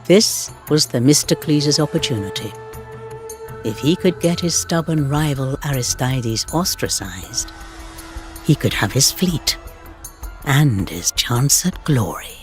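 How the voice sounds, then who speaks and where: A man narrating history for game cutscenes as a measured, dramatic storyteller with wry asides. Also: medium pitch, lively intonation. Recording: music under the voice. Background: background music.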